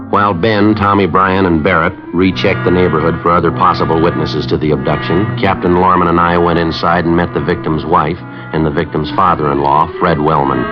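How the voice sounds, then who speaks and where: A man playing a stoic police detective in a 1950s radio drama, speaking in a terse, matter-of-fact, deadpan way. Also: deep voice, natural voice. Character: stoic male police detective narrating his case. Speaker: lead actor and narrator of a police radio drama. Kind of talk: 1950s radio acting, clipped scripted narration. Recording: muffled vintage 1950s radio recording with music.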